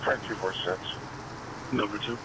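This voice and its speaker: A man's voice: fairly low pitch, fairly even intonation, moderate pace. A man working as a police dispatcher, speaking in terse, procedural phrases over scanner radio. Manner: terse, procedural, spells with phonetic letters. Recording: police scanner audio, loud buzzing ambient noise. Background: bees buzzing at outdoor hive.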